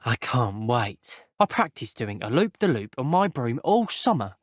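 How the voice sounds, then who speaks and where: A man's voice: medium pitch, big pitch swings, measured pace, dry recording, studio quality. A man voicing an earnest, chatty teenage wizard schoolboy in a video game, with a British accent and a polite, wry, old-fashioned turn of phrase. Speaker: voice actor. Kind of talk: scripted video game character voice clips.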